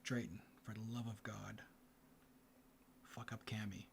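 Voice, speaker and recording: A man hosting a board game in ASMR style, mixing soft speech and whispers as he murmurs an unhurried play-by-play. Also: on the low side, little pitch movement. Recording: clear background noise. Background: room ambience, board game handling sounds.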